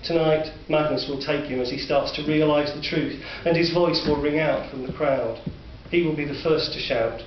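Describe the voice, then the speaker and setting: A man with a Northern English accent narrating a dark story in a measured, sombre way. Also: a little high. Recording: distant, roomy, dull recording with faint hiss.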